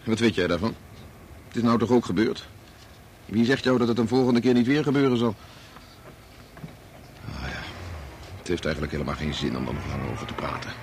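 An actor playing a bitterly disappointed grown son in a Dutch radio drama, prickly, argumentative and reproachful. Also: male voice, on the low side, expressive, fairly quick, pauses often, a little mellow, slight background noise, close to an everyday voice. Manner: argumentative, exasperated.

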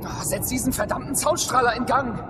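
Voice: medium pitch, a little fast, long reverb tail, over loud music, close to an everyday voice. A performer playing a bold, bossy child adventurer in a radio drama, speaking in a brash, urgent way.

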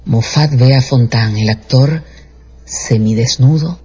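A man's voice: mid-range voice, takes it slowly, darkish tone, breathy edge. A man giving scripted dramatic narration as the storyteller of a radio drama. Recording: dull radio production with music underneath.

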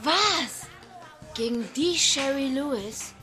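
An actress playing a blunt, defiant, streetwise young female wrestler with a husky, gravelly voice in a radio drama. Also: high voice, expressive, slow pace, pushed and bright, faint music behind, exaggerated voice. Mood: eager. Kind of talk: radio drama acting and first-person narration.

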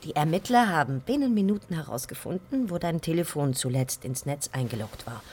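A composed woman with a very low voice in a radio drama. She talks naturally and with dry, teasing wit, with faint noise around her. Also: lively intonation, a little fast, darkish tone. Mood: matter-of-fact. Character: composed, dryly teasing woman, the chef's friend. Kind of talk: conversational radio play acting.

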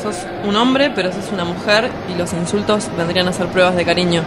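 A man's voice: high-pitched, fairly even intonation, brisk, very noisy, lightly acted. A man playing a naive, blunt young man from the country in a radio drama, with an Argentine accent and a plain, guarded manner. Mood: puzzled, friendly. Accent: Argentine Spanish.

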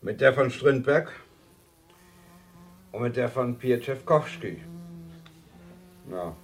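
An actor playing a wry male university lecturer in a radio drama, speaking in a hesitant, deliberate, dryly ironic way. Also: medium pitch, expressive. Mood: deliberate, pondering. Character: Lars, measured, wry male university lecturer leading a seminar. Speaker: seminar lecturer.

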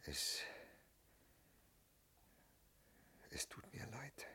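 An actor playing a dying, remorseful man in a radio play, halting and weak, with a breathy whisper. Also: pushed and bright. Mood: faltering, pleading. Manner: halting, faltering, broken phrases. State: badly hurt, failing strength. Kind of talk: German radio play acting.

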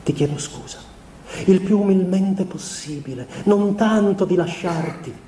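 An actor playing a young husband confessing in a farewell letter, a man delivering a theatrical radio monologue in measured, deliberate, earnest phrases. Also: high-pitched, dark tone. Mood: tender, regretful. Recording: roomy, distant mic, noisy background.

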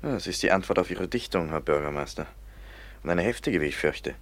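A man's voice: sits low, lively intonation, fairly quick. A man playing a sceptical, needling police commissioner in a radio play, dry and sardonic in manner. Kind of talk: German radio play acting.

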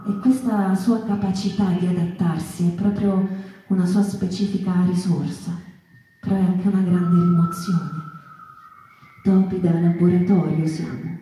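An actress performing a wistful, impassioned female storyteller in a stage monologue, halting and repeating phrases, putting rhetorical questions to listeners. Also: fairly low pitch, fairly even intonation, warm tone, soft background music, lightly acted. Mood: urgent. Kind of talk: theatrical monologue, dramatic storytelling. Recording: echoey room, distant microphone.

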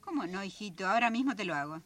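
A woman's voice: medium pitch, expressive, rapid-fire, noisy background, natural voice. An actress playing a doting, fussy, homely mother of grown children in a radio drama, with a Rioplatense Argentine accent and a fond, fussing manner.

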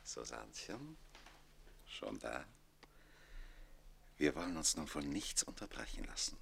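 A man playing an amorous aristocratic count in a radio drama, murmuring smoothly and seductively. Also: extremely low pitch, big pitch swings, a little hiss or hum, heavily acted. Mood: seductive, hushed. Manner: slow, hushed, seductive murmuring.

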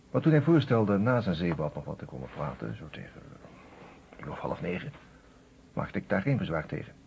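A man playing a reserved, educated character who recounts events in a radio play, in a measured, slightly hesitant way. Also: low voice, darkish tone. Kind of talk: radio play first-person narration. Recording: muffled, noisy old radio recording.

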